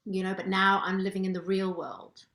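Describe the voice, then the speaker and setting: Middle-aged woman from zimbabwe telling her story, lively, sounds a bit roomy. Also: medium pitch, normal speed. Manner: animated storytelling, acts out quoted thoughts. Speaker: guest, transformation coach. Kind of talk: personal story in an interview. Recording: roomy echo.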